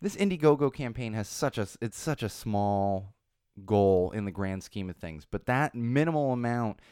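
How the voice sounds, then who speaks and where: A man in his thirties hosting his podcast, losing his voice so it sounds a bit raspy, rambling and repeating himself as he runs through plugs. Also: lowish voice, expressive, moderate pace, darkish tone, no echo, studio quality. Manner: rambling, repeats words, self-corrects. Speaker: podcast host. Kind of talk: casual solo podcast intro with plugs. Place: small podcast studio. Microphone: studio microphone.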